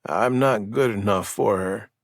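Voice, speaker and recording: Guy doing a drugged southern patient, slow gruff drawl, low and dry, audiobook character. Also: no room sound. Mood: slow, haunted. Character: drugged male Southern war veteran patient, haunted.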